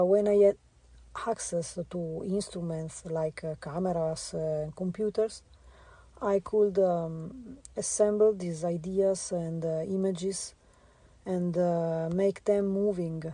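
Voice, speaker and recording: A woman with an Italian accent, a visual artist answering interview questions in a halting way with many filler sounds. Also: fairly low pitch, warm tone, no room sound. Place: radio studio.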